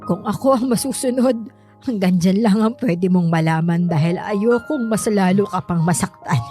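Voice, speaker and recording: A woman's voice: mid-range voice, moderate pace, warm tone, faint music behind, natural voice. A woman playing a weary, self-sacrificing middle-aged mother in a Filipino radio drama, reluctant and protective. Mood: firm, pained.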